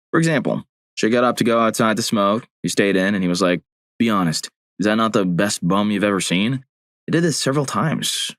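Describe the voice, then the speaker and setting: A man's voice: fairly low pitch, no room sound. Dry, wry male story narrator. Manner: wry, deadpan asides after each story. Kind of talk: reading story submissions aloud with short quips.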